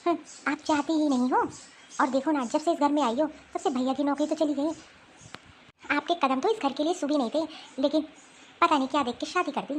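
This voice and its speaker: Spiteful cartoon sister-in-law, played by a woman: very high voice, accusatory, needling, complaining. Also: a little hiss or hum, close to an everyday voice. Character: spiteful, meddling adult sister-in-law, scornful troublemaker. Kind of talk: Hindi cartoon family drama acting.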